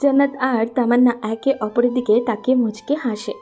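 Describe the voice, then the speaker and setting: A woman with a fairly high, soft-toned voice narrates a scripted story. Light music plays behind her narration. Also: steady tone, normal speed.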